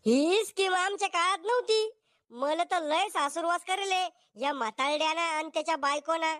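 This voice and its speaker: Man doing a shrill-pitched nagging cartoon housewife. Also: moderate pace, pushed and bright, no room sound, clean studio sound. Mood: resentful. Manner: nagging, complaining. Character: sharp-tongued village wife, resentful of her in-laws. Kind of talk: Marathi comedy cartoon acting.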